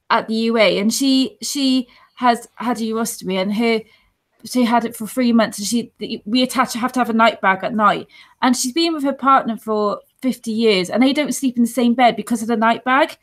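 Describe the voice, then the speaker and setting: A woman's voice: a little high, moderate pace. A woman, chatting earnestly on a video call from home, rambling and restarting as she tells stories. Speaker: guest on support show. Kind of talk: casual video-call chat. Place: dim room at home. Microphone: earphone headset on video call.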